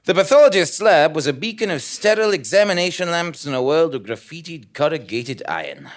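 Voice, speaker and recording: A man playing an excitable, blundering investigative journalist who narrates his own comic audio drama with theatrical exclamations. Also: on the high side, fairly quick. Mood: excited.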